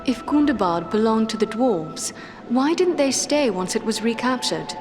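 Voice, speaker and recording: A woman's voice: a little high, expressive, moderate pace, over music. An actress playing a resolute, earnest elf woman in a fantasy game, with formal, composed phrasing. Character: resolute young female elf adventurer, earnest and grave. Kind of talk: scripted fantasy game dialogue.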